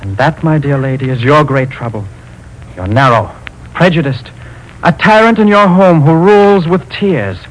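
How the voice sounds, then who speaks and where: Man voicing a stern, kindly, sermonizing stranger, slightly high voice, old-time radio drama. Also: expressive, moderate pace, a little hiss or hum, close to an everyday voice, rough recording. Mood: stern preaching. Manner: earnest, sermonizing, commanding. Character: Goodwill, a wise preachy man, stern and kindly. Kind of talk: 1940s radio acting.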